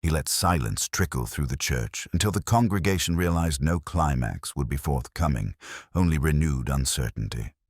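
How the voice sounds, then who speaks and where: A man with an extremely low voice narrates a mystery story in a measured, literary way. His pitch moves in lively swings, and the sound is close and dry with no room on it. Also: dry recording.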